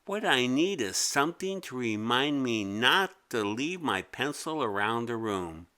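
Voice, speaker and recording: A man's voice: medium pitch, sing-song, unhurried, sharp tone. A man voicing a determined, exasperated schoolgirl in a children's storybook, speaking emphatically. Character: determined, exasperated schoolgirl scheming against a pest.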